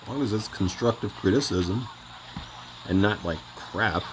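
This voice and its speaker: A man's voice: lowish voice, measured pace, noisy background. A man casually reacting to game voice lines with laid-back, offhand comments. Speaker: reaction video host.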